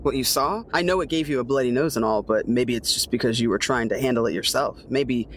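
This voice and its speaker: A man's voice: mid-range voice, brisk. Man chatting at a game table, faint noise. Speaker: player in a tabletop roleplaying game. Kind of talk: casual game table talk.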